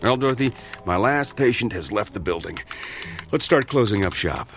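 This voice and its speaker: An actor playing a sceptical, principled doctor in a radio drama, with a dry, reproachful manner. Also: male voice, lowish voice, expressive, normal speed, fairly bright tone. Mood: wry. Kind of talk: theatrical radio drama acting.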